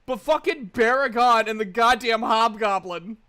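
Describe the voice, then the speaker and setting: A man hosting a tabletop role-playing session as game master, talking in a playful, animated, casual way with some swearing. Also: very high-pitched, normal speed.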